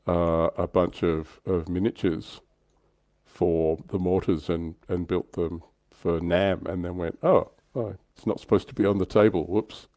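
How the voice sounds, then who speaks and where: A man's voice: deep voice, fairly even intonation, a little slow, warm tone, no echo. A man with an Australian accent hosting a livestream in a casual hobby discussion. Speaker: livestream host.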